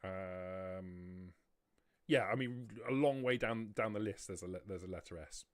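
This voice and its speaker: A man with a fairly low voice runs a tabletop roleplaying game. He improvises as he goes, in a halting and deliberate manner, picking through details piece by piece. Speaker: game master.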